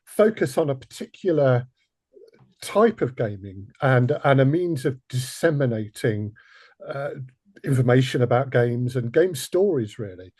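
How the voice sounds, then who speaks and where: A man's voice: medium pitch, lively intonation, a little slow, darkish tone, breathy edge. A man hosting a casual interview, putting his questions in a hesitant, thoughtful way. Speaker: podcast host.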